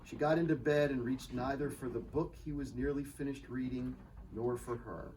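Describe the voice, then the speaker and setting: A man's voice: mid-range voice, steady tone, normal speed, darkish tone. A man narrating a scripted story as its author in a dramatic live reading. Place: live reading at a bookshop event. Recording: distant mic, faint room noise.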